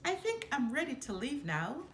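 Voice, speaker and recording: An adventurous young girl lion cub from a storybook, voiced by a woman, high and lively. She is friendly and childlike, heard in an echoey room with some background noise. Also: normal speed, exaggerated voice. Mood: tired, content. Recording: roomy echo, background noise.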